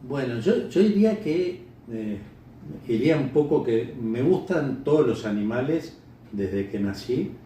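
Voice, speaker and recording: A middle-aged Uruguayan man answering interview questions off the cuff, halting and repeating words as he thinks. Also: medium pitch, lively intonation, warm tone. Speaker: interviewee, bird breeder. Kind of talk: unscripted interview answers, reminiscing. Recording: echoey room, distant mic, faint noise.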